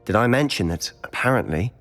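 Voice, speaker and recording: A troubled teenage student in a fantasy game, British-accented and fairly low in pitch. He sounds serious and subdued, like someone sharing a secret. Soft music sits behind the voice. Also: expressive, brisk. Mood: troubled, confiding. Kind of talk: video game character acting.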